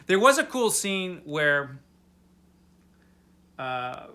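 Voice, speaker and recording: A man's voice: a little high, big pitch swings, very slow, sharp tone, a little hiss or hum. A tipsy man hosting a casual comic book review, rambling along in a wry, tongue-in-cheek way. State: drunk.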